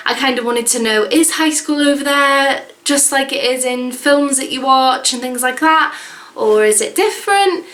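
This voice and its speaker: Girl in her twenties vlogging, bright higher voice, echoey room, says like a lot. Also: normal speed. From Wales. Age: 20s. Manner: chatty, many fillers and "like"s. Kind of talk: casual chat.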